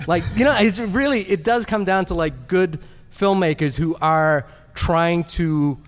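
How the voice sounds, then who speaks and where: A man speaking casually on a live film podcast panel, halting and repeating words as he thinks. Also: high voice. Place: large convention panel room. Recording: echoey hall PA, live recording.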